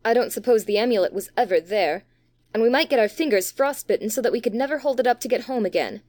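Guy doing a small boy, high voice, brisk n grumbly, dry close sound. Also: a little mellow, no echo. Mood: grumbling. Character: brisk, unwilling young boy, practical and grumbling.

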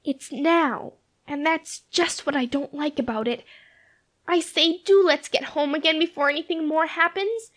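Man doing a squeaky fretful little girl. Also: moderate pace, fairly bright tone. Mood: anxious. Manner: pleading, fretful. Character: timid anxious little girl, whiny.

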